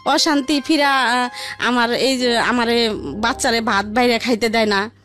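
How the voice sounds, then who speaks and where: A woman's voice: fairly high pitch, fairly even intonation, a little slow, a bit bright, soft background music. A woman telling her life story in a rambling, repetitive, conversational way. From Barisal region, Bangladesh. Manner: rambling, repetitive, colloquial narration.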